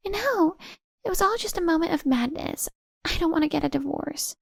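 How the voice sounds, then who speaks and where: A high, shrill voice of a cheating wife as a woman narrator plays her in a dramatic story. She is defensive and quick to turn indignant or pleading. The sound is dry, with no room to it. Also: lively intonation, creaky, dry recording, full character voice.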